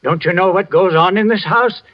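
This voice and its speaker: On a muffled old radio recording, an actor plays an elderly male zealot of a handyman. His voice is fairly high, and he speaks ominously, like a preacher of doom, in old-fashioned suspense acting. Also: normal speed, natural voice. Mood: ominous, fervent. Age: older.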